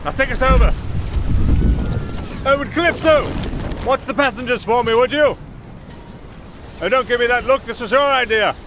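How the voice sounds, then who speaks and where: Roguish young musician played by a man, higher-pitched, wry and glib, fantasy roleplay with loud background ambience. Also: normal speed, pauses often, noisy background. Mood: urgent calling. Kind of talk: fantasy audio roleplay acting.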